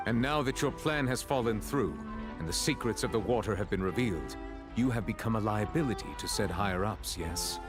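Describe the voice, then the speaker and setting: An actor voicing a stern, formal chief justice who lays out a case in a video game. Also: male voice, lowish voice, lively intonation, over music, lightly acted. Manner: measured, formal, deliberate.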